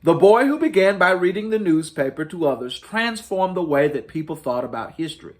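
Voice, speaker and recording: A man reads a children's story aloud with highly varied, lively intonation. His voice sits a little high, and he places emphasis deliberately, like a host telling a tale to young listeners. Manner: deliberate, emphatic storytelling with dramatic rises and falls. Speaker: host reading stories to children. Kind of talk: expressive children's storybook reading.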